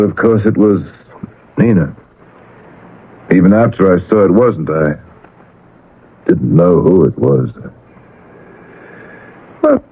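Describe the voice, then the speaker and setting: An actor playing a weary, desperate painter in a 1970s radio drama, speaking in halting, broken phrases. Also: male voice, on the low side, full character voice. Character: weary, desperate male painter, middle-aged or older, brooding. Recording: muffled, lo-fi old radio broadcast.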